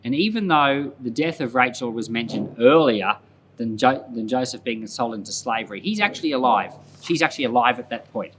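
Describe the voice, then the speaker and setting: A man's voice: mid-range voice, expressive, fairly quick, fairly bright tone, a little hiss or hum. A man speaking as a pastor in conversational Bible commentary, explaining things and often repeating and rephrasing points for emphasis. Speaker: pastor and Bible podcast host.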